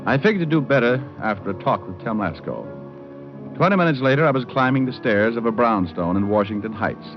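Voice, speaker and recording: An actor playing a suave, sardonic private detective, narrating and talking in a noir radio drama. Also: male voice, mid-range voice, expressive, brisk, natural voice. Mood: wry, sardonic. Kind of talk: first-person noir radio narration and dialogue. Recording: muffled old radio recording with music. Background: music.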